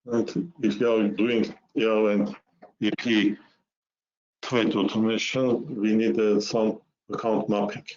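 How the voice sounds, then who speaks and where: A man narrating a software demo webinar, explaining step by step in a halting way with repeated words. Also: fairly low pitch, level tone. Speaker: software presenter. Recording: muffled lo-fi webinar audio.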